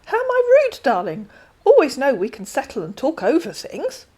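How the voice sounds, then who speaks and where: Brief: a wheedling, stilted con man who turns bullying, played by a woman reader; high, swooping and overdone. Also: wildly swooping pitch, normal speed, warm tone, slightly rough, exaggerated voice. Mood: pushy. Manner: stilted phrasing, syrupy darlings, sudden pushy demands. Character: pushy male online romance scammer, wheedling then bullying. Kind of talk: read-aloud storytelling.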